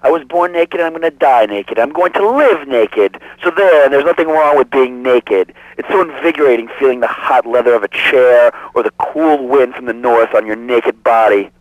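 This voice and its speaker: An actor playing an earnest, zealous nudist crank who phones a radio show and declaims insistently. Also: male voice, mid-range voice, moderate pace, hardly any pauses, no echo, dull audio, lightly acted. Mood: defiant.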